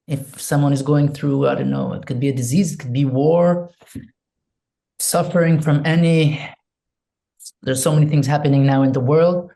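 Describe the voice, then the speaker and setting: A man from Israel with an Israeli accent, speaking in English as an interview guest, soft and hesitant, searching for words and leading a quiet prayer. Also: fairly high pitch, steady tone, moderate pace, creaky, low-quality recording. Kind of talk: slow reflective interview talk with a spoken prayer.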